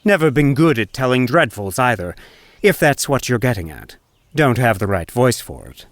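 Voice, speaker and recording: A cheeky street minstrel voiced by a man for a storybook drama. He is sly and casual, with teasing false deference and a lively, swinging pitch. The recording is very dry. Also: moderate pace, no room sound, close to an everyday voice. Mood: easygoing. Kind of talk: full-cast audiobook acting.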